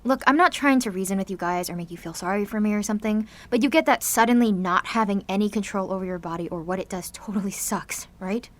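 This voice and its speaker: A woman's voice: mid-range voice, quick pace, hardly any pauses, close to an everyday voice. A woman playing an anxious, guilt-ridden seventeen-year-old girl with superpowers in an audio drama, speaking in a confessional, sardonic, conversational way. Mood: somber, guilty.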